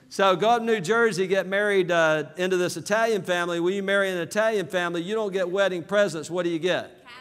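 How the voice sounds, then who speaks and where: A man from southern Alabama with a Southern American accent giving an energetic motivational business seminar, calling out to his audience for responses. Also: high voice, fairly quick, clean tone. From southern Alabama, USA. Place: large hall with live audience. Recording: reverberant hall.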